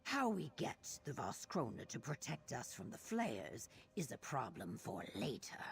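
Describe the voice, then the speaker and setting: An actress playing a grave, scholarly female quest companion, speaking in measured, formal video game dialogue. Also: a bass voice, huge pitch swings, vocal fry, heavily acted. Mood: grave, purposeful.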